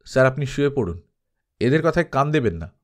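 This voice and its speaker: Man playing a sensible male adventurer, calmly firm, cautious, expressive pitch, audiobook story. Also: fairly quick, natural voice. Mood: soothing.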